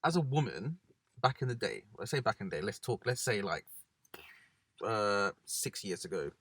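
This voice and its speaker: A man from England co-hosting a casual chat in a parked car, animated and quick to imitate others. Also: fairly low pitch, dry recording. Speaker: podcast co-host.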